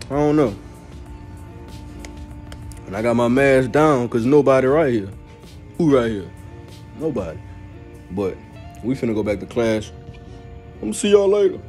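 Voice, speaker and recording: A teenage boy vlogging on his way to class and joking through interviews, talking loose and slangy and laughing often. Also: mid-range voice. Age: teens. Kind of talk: casual vlog chat and joking interviews. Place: school hallways and grounds.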